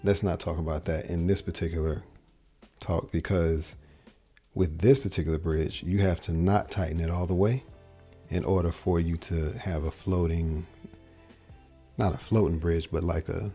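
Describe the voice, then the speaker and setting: A man's voice: sits low, fairly even intonation, normal speed, creaky, mellow, slightly rough. A man in his forties giving a casual guitar lesson from a small home studio, explaining in loose, rambling sentences that often correct themselves. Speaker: guitar teacher. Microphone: large dynamic mic on boom arm.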